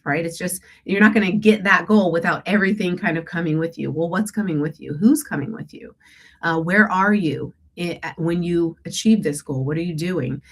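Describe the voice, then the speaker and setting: A woman coaching listeners on goals and breathing, slightly stuffy from a cold, rambling and self-correcting and often asking "right?". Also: on the low side. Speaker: declutter coach hosting a call-in show. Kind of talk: casual coaching talk with breathing guidance.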